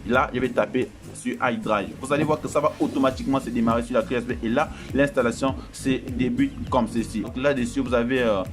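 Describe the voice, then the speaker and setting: A man's voice: mid-range voice, steady tone, fairly quick, few pauses. A man who does not speak French as his first language, talking viewers through a computer setup in a casual, reassuring voice-over and calling them his friends. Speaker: tech tutorial host. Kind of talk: screen-recorded tutorial walkthrough. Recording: voice-over with loud background music.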